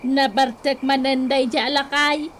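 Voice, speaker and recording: High-voiced, exasperated wife. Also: female voice, steady tone, measured pace, very noisy. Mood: fretting. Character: exasperated village wife fretting over drunk old husband. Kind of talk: Ilocano radio comedy drama. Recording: loud music over radio drama.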